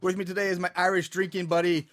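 A man's voice: high voice, level tone, fast talker, fairly bright tone, dry recording, clean studio sound. A man hosting a podcast in a casual, profane chatting style. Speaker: podcast host. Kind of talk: casual chat about bad horror movies.

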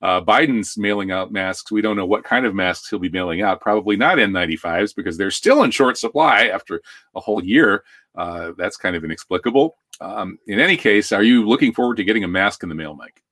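Low-voiced American man hosting news commentary. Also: expressive, fairly quick, a bit bright, dry recording, clean studio sound. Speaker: host of a news commentary show.

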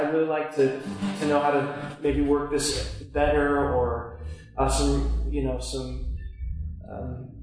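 A man leading a worship music workshop, talking hesitantly with many fillers. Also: a little high. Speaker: workshop leader. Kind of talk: workshop discussion. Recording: echoey room, mic far off, music behind.

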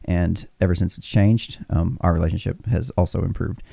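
A man's voice: deep voice, fairly even intonation, normal speed, vocal fry, warm tone, husky, dry recording. A middle-aged man who is the host, talking bluntly and casually, swearing and laughing often. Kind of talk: casual chat.

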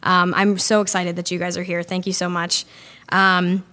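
A woman's voice: lowish voice, flat delivery, normal speed, bright, forceful tone. A young woman introducing speakers at a live event, upbeat and with many fillers. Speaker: event host.

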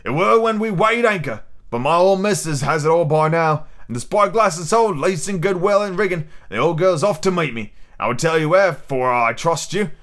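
A man's voice: on the high side, lively intonation, normal speed, hardly any pauses, fairly bright tone, voice pushed up. A man reading an audiobook, voicing a cunning, boastful, domineering pirate cook with emphatic rustic sailor talk. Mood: boastful, wheedling. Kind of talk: audiobook character acting.

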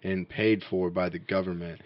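A young man with a fairly low voice talks casually, halting and restarting often with fillers. The recording is dry and muffled, with little high end and no room sound. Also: little pitch movement, no room sound. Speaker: interviewer. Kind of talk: casual conversation.

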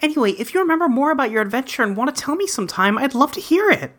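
A woman's voice: sits high, sing-song, racing, a bit bright, full character voice. A woman voicing an earnest, rambling teenage boy in a webcomic dub, breezily recounting his adventures. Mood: earnest, friendly. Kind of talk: character dub reading of a webcomic.

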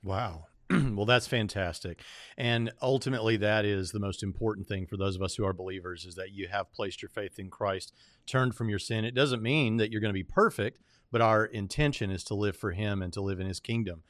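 A man's voice: on the low side, brisk, fairly bright tone, dry recording. Man hosting a conversational interview, close dry sound.

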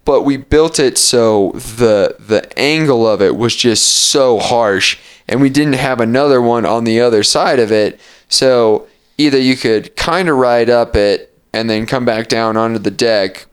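A man's voice: mid-range voice, unhurried, clean tone. A young man hosting a podcast, telling loose rambling stories with frequent laughs and casual swearing. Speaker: podcast host. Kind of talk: casual chat.

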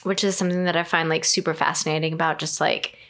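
A woman's voice: sits low, little pitch movement, fast, vocal fry, fairly bright tone. A woman about to turn forty, hosting a casual interview and asking curious follow-up questions. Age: 30s. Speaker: podcast host.

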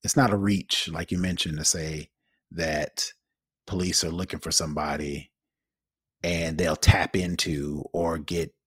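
A man's voice: a bass voice, steady tone, a little slow, husky, no room sound, clean studio sound. A man co-hosting a podcast with conversational commentary, often circling back to rephrase as he goes. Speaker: podcast co-host.

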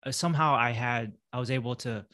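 Halting man hosting a podcast. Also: medium pitch, sharp tone. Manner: halting, many fillers and restarts. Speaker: podcast host. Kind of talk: casual interview chat.

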